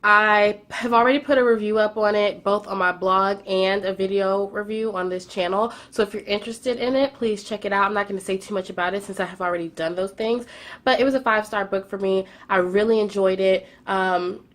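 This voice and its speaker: A woman's voice: medium pitch, fairly even intonation, brisk, fairly bright tone. A young woman chatting through her monthly book wrap-up, a bit congested from a cold, with frequent "um"s and words doubled for emphasis. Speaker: book vlogger. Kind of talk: casual monthly reading wrap-up.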